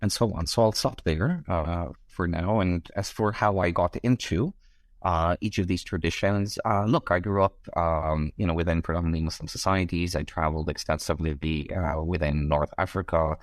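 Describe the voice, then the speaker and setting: A man's voice: deep voice, normal speed, dry recording, studio quality. A middle-aged man from Egypt, a scholar being interviewed, speaking in wordy, hesitant academic phrasing.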